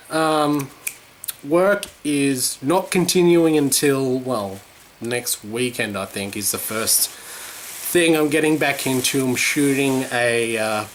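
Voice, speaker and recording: A man's voice: mid-range voice, a little hiss or hum. Sweary rambling man. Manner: rambling, sweary, self-mocking. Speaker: vlogger. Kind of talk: casual vlog chat.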